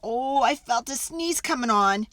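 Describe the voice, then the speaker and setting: A storybook wolf, acted out by a woman talks in a high, unhurried voice with theatrical swoops. The wolf is chatty and mock-sincere, forever justifying himself to young listeners. The sound is close and dry. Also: animated, a bit bright, no echo. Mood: chatty, aggrieved. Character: folksy, innocent-acting wolf telling his side, self-justifying. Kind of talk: children's storybook read-aloud.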